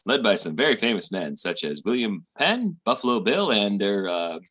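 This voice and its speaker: A man's voice: lowish voice, big pitch swings, normal speed, a bit bright, husky, heavily acted. A man playing a brash, bluffing, cheapskate boyfriend in 1940s radio sitcom style, slangy and full of stalling. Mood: cocky. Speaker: amateur theatre performer.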